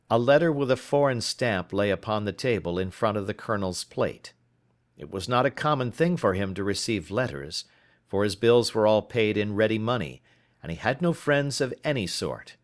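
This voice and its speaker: A man reading a first-person literary story as an audiobook narrator, in a measured, formal storytelling manner. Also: lowish voice, lively intonation.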